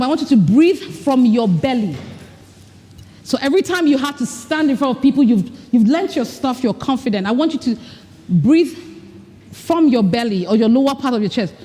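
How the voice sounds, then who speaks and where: Woman coaching a live workshop, fairly high voice, mellow tone, long reverb in a big hall. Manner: direct, coaching, acts out mock dialogue. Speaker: speaker at a women's leadership retreat. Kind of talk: live workshop talk with breathing exercises. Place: large event hall with an audience. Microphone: recording mic, not amplified.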